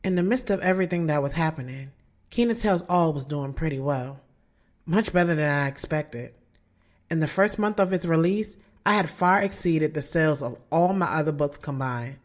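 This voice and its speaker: A woman's voice: low voice, lively intonation, normal speed, no echo, studio quality. A woman playing a hopeful, candid author of about thirty in an audio drama, speaking in a casual, earnest way. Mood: hopeful, reflective. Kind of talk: first-person audio drama narration and radio interview dialogue.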